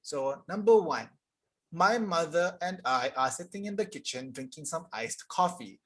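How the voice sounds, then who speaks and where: A young man teaching an English grammar lesson live online, explaining casually and reading sentences aloud slowly. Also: a little high, expressive, slightly rough. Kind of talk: live online tutorial reading chat answers.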